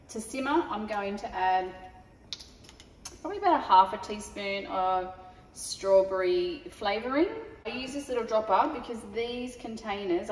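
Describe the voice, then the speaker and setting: A woman's voice: mid-range voice, far from the mic. A woman, casually talking through a recipe as she cooks in a large home kitchen. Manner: chatty, relaxed, talks while working. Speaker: cooking show host. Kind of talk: casual cooking demonstration. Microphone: camera mic from a few metres. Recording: echoey kitchen, voice off-mic.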